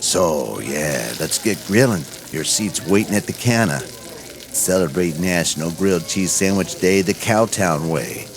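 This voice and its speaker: A loud, jokey diner cook, played by a man who whoops and hollers through a folksy food pitch. His voice sits low but swings widely up and down. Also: expressive, unhurried, a crowd in the background. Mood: excited.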